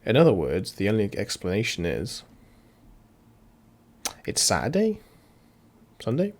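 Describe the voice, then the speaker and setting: A man's voice: fairly low pitch, wildly swooping pitch, measured pace. Man reading a visual novel aloud, jokey. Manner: playful, jokey reading with sound-effect asides. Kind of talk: reading translated lines aloud with asides. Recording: voice mixed with loud game music. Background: loud music.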